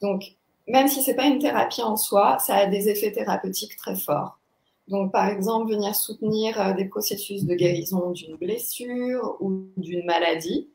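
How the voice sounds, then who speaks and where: A young woman explaining her practice as a guest teacher, thoughtful and halting as she searches for words. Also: medium pitch, creaky, darkish tone. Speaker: body-movement teacher, interview guest. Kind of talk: explanatory interview talk. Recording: echoey, roomy sound.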